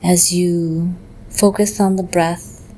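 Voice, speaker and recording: A woman with a fairly low voice guides a meditation very slowly. Her delivery is gentle and measured, with long pauses between phrases. There is clear background noise behind her. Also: fairly even intonation, rough recording.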